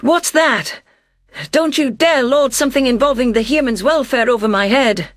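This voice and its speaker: A performer voicing an earnest, wary deposed alien governor who narrates in a measured, formal, reflective way. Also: high-pitched, a bit bright, dry recording, studio quality. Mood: guarded, reluctant. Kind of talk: scripted first-person audio story narration.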